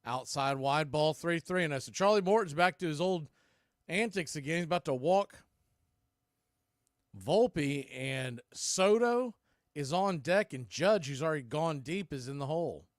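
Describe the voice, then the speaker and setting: An American man doing live baseball play-by-play in clipped, punchy calls with pauses, mixed with casual fan chat. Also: on the high side, lively intonation, no room sound, clean studio sound.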